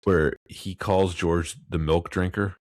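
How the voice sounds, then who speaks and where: A man's voice: sits low, fairly even intonation, takes it slowly. A man co-hosting a film podcast, speaking in casual conversation about movies.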